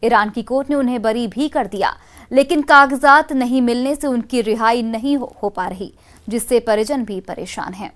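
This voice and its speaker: A woman anchoring a regional TV news bulletin in a crisp, formal way. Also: fairly high pitch, moderate pace. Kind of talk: studio news presenting.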